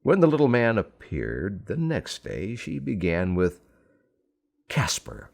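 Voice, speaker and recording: A man with a low-pitched voice narrates a storybook fairy tale. His delivery is theatrical and unhurried, with wide, lively swings in intonation and clear emphasis on key phrases. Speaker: storyteller, radio personality.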